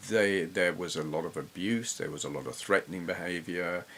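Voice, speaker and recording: Low-voiced middle-aged English man, reminiscing casually. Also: moderate pace, a bit bright, breathy edge. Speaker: retro gaming YouTuber. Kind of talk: casual gameplay commentary and reminiscence.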